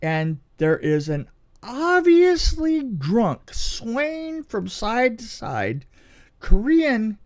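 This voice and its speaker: A middle-aged man telling a story in casual conversation, dramatic and halting, repeating phrases for emphasis. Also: very high-pitched, huge pitch swings. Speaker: podcast co-host telling anecdotes.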